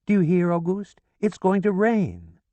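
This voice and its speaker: A man's voice: sits high, big pitch swings, unhurried, mellow, dry recording, voice pushed up. A man voicing a fussy, complaining middle-aged mother who frets in a whiny tone. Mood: fretful. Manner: whiny, fretful.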